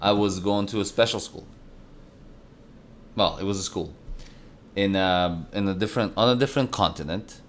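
A man with a deep voice co-hosting a podcast, chatting casually and telling playful stories. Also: moderate pace, long pauses, a little hiss or hum. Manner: animated storyteller, playful. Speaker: podcast co-host. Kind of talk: casual chat.

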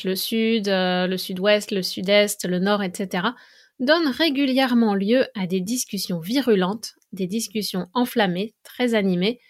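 A woman from France speaks as a teacher hosting an informal educational monologue. Her voice sits at an average pitch for a woman. She explains things methodically, moving through examples in an orderly way. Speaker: French language and culture teacher, podcast host.

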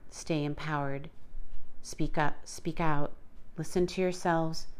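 A woman's voice: deep voice, fairly even intonation, slow pace, a little mellow, clean tone. A woman hosting a solo podcast, talking earnestly and pleading with her listeners to be kind to each other. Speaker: podcast host.

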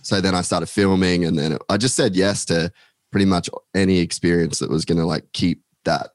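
Man with a very low voice, guest on a casual podcast, loose and rambling. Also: steady tone, brisk, dry recording, studio quality. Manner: rambling, many "like" fillers, swears casually. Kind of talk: casual chat.

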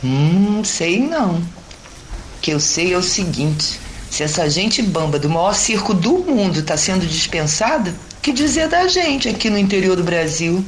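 A woman's voice: lowish voice, animated, a little slow, slight background noise, rough recording. A woman playing a spirited, hopeful circus dancer with a Brazilian accent, chatting in an audio drama. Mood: resigned. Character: spirited, hopeful female circus dancer, outspoken about culture.

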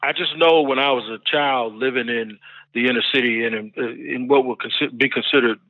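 A man's voice: medium pitch, brisk, dry recording. A man, a podcast host and barber, telling personal stories in an earnest, reflective way during a roundtable discussion. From United States. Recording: dry tinny phone-like line.

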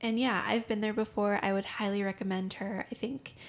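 A mid-pitched woman with a lot of vocal fry chats easily as a podcast co-host. She agrees often and talks in a loose, friendly way. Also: fairly even intonation, fairly quick. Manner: chatty, agreeable, many yeahs. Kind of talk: casual chat.

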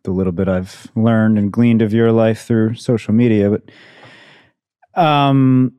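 A man's voice: fairly low pitch, fairly even intonation, slow, mellow, smooth, dry recording. A man in his thirties hosting a conversation, speaking in a thoughtful, hesitant way and searching for words. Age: 30s. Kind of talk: casual conversation.

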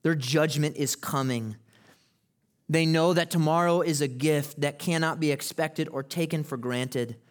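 A man preaching a sermon as pastor, moving from conversational teaching to loud, impassioned preaching. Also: fairly high pitch, steady tone, a little slow. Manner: acts out quoted people in casual voices. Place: church sanctuary.

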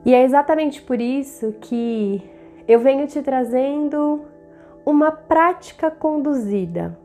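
A Brazilian woman hosts a guided meditation with a slightly high voice. She speaks very slowly and soothingly, in deliberate, measured phrases. Soft meditative music plays behind her. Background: meditative background music.

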